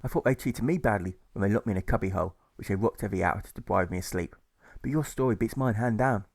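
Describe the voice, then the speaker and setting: A man's voice: on the low side, fast talker, no echo. A man plays a companionable watchmaker in a storybook, ready to join in. The recording is dry and close. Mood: recounting.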